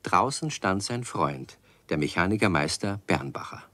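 A man acting as storyteller in a children's radio play, narrating in measured storybook fashion. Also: low-pitched, expressive, noisy background. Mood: storytelling. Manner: measured storybook telling, addresses listeners. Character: male storyteller of a children's tale.

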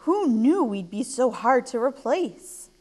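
A woman's voice: on the high side, big pitch swings, slow, a little mellow, voice pushed up, exaggerated voice. A woman voicing a picky, dry-witted talking sheep in a children's storybook, in a matter-of-fact, judging manner. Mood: appreciated, reassuring.

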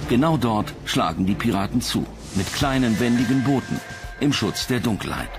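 A man narrates a documentary in scripted style. His pitch is medium, and music plays clearly behind his voice. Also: lively intonation, moderate pace.

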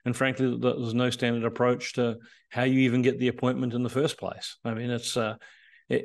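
An older man joining a podcast by video call as a guest, explaining at length with frequent restarts. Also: mid-range voice. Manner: measured, explanatory, many restarts and fillers. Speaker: guest, tech company CEO. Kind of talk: casual interview chat. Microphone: remote video call.

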